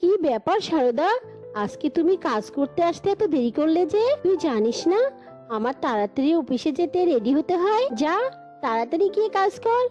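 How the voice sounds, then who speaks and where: Woman as a high-voiced bossy mistress, dull recording. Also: sing-song, normal speed, darkish tone. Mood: irritated. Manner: curt, threatening. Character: bossy, scolding female household employer. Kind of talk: Bengali cartoon dialogue. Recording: dull lo-fi sound with music.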